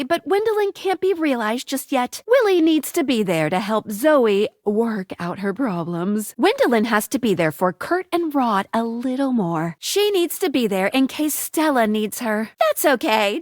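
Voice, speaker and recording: Casting: bubbly, cheeky female helper for a dating sim game; high-pitched, very animated delivery. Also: dry recording, clean studio sound. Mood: helpful, chipper. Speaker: video game voice actor.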